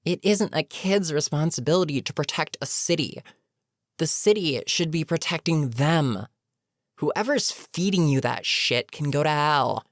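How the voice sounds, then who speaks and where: A woman playing an older, weary supervillain in an audio roleplay, menacing yet protectively concerned, with a measured, sardonic, sighing delivery. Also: sits low, animated, no room sound, clean studio sound. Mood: disgusted, concerned. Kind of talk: dramatic audio roleplay monologue.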